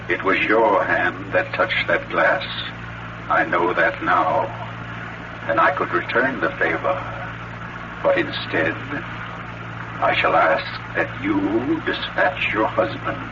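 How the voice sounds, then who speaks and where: An actor playing a sinister undead poisoner, ominous and hypnotic, in a 1940s radio horror play. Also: male voice, deep voice. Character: sinister undead male poisoner, coaxing and commanding. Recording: muffled, noisy 1940s radio recording.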